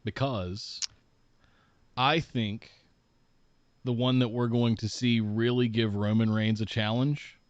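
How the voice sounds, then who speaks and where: A man co-hosting a casual podcast, speaking in a measured, speculative way. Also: medium pitch, steady tone, clean tone, dry recording. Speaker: podcast co-host. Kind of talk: casual wrestling podcast chat. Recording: muffled, dry remote podcast audio.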